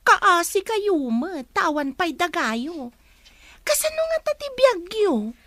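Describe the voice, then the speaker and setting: A woman's voice: very high voice, very animated, slow, fairly bright tone, no room sound, heavily acted. A woman with an Ilocano accent playing a nosy, mock-pitying schoolgirl in an audio drama. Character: nosy schoolgirl with mock pity, taunting classmate. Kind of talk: Ilocano radio-style drama acting.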